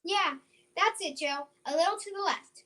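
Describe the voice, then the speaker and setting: A woman's voice: very high voice, moderate pace, crowd noise. A woman playing a plucky, sure-minded young country girl in old-time radio drama style, eager and emphatic. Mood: urgent, certain. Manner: eager, emphatic, repeats phrases.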